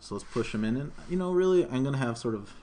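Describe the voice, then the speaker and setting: A man's voice: medium pitch, lively intonation, fast talker, mellow. A man in his thirties giving an informal programming tutorial, narrating each step aloud as he works. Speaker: programming instructor. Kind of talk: live coding tutorial, thinking aloud. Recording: noisy room mic with keyboard typing. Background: keyboard typing, room noise.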